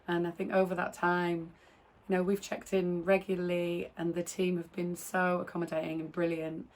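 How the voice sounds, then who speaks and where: A woman filmmaker who is losing her voice and sounds croaky, casually introducing a film with friendly, halting speech. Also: lowish voice, little pitch movement.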